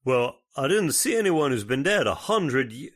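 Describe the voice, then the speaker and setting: An actor as a folksy judge, swooping intonation. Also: male voice, medium pitch, sing-song, a bit bright. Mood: puzzled. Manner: folksy, deliberate, hesitant politeness. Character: Judge Foster, folksy, genial, steady older man. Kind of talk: old-time radio horror acting.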